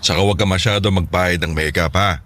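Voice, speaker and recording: A man's voice: low voice, fairly even intonation, quick pace, fairly bright tone, dry recording. An actor playing a protective middle-aged Filipino father in a radio drama, dryly teasing and strict. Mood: fatherly advice.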